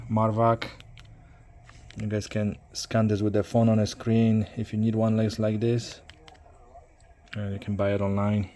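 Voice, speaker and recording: A man with a fairly low, very flat voice talks through a hands-on job in a casual way. He thinks aloud in halting phrases, with clear background noise on the recording. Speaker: CB radio hobbyist demonstrating an installation. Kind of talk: casual hands-on tutorial narration.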